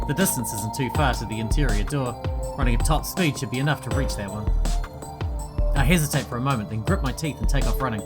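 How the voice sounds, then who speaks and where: A male gaming host reads a horror visual novel aloud, giving the narration a dramatic delivery. His voice sits at a middling pitch. Loud game music plays under him the whole time. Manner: dramatic reading of text with quieter joking asides. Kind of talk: let's play, reading visual novel text aloud.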